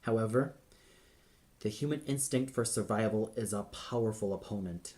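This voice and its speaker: A man narrating a dramatic audio story in a grave, deliberate manner. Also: fairly low pitch, darkish tone.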